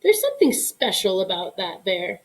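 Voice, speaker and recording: A woman's voice: medium pitch, huge pitch swings, normal speed. A woman voicing a thoughtful, earnest male army veterinarian in a storybook reading. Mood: torn, earnest. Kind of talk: storybook read-aloud character.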